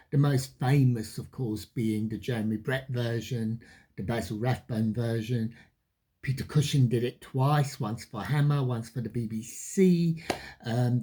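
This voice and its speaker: A film reviewer giving a retrospective commentary, phrasing things deliberately and stressing key words. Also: mid-range voice, mellow, slightly rough. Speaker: film reviewer, channel host.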